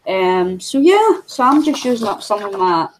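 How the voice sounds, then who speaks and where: A chatty woman from New Zealand rambles through her craft project in a middle-pitched voice. She trails off and restarts often. Faint noise runs beneath. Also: big pitch swings, normal speed. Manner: chatty, rambling, full of fillers. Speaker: craft channel co-host. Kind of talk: casual craft chat.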